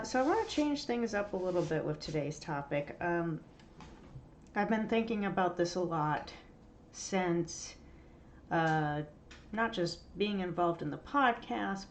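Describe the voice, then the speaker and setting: A woman's voice: fairly low pitch, slight background noise. Stuffy-nosed woman hosting a writing podcast. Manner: chatty and candid, many ums and uhs. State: stuffy, nasal from allergies. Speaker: podcast host. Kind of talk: casual solo talk to writers. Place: home room.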